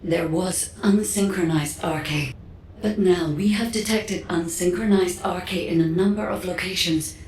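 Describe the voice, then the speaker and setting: A woman voicing a measured artificial guide entity, speaking formally and without emotion in game cutscene dialogue. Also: lowish voice, darkish tone, slight background noise, close to an everyday voice. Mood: calm. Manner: formal, precise, unemotional. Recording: echoey reverberant sound.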